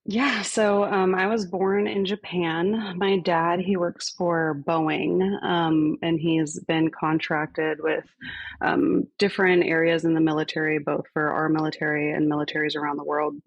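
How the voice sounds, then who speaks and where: A woman in her thirties talks as a podcast guest, relaxed and reflective, pausing often with fillers. Her voice carries a gravelly, creaky edge. The recording is dry and a bit dull in tone. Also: low voice, no echo. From grew up on a base in Spain. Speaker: guest, fire department fitness coordinator. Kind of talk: conversational podcast interview.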